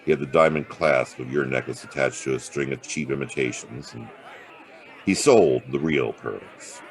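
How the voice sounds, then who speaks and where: An American actor playing a world-weary, hard-boiled private detective with a soft streak in a noir audio drama, gravelly and laconic. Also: male voice, very deep voice, moderate pace, some chatter behind. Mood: awkward, kind. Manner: laconic, colloquial tough-guy delivery. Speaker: actor playing the lead and narrator. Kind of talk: noir audio drama dialogue and first-person narration.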